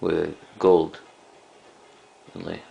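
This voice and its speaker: A man giving a casual, unscripted show-and-tell of a collection in a halting, rambling way. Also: sits low, level tone, vocal fry, warm tone, highs cut off, a little hiss or hum. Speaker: cassette collector presenting a collection.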